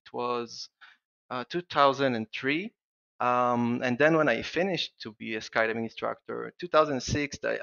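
Eager Israeli-accented man, gravelly bright voice. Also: mid-range voice, muffled recording. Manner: halting, many restarts and fillers, eager. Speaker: guest plugin developer. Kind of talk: casual podcast interview.

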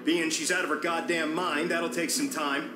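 An actor playing a gruff, blunt, commanding leader of survivors in a video game cutscene. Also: male voice, on the high side, level tone, normal speed, roomy sound, background noise, natural voice. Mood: urgent, commanding. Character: gruff, foul-mouthed man leading survivors, grimly practical.

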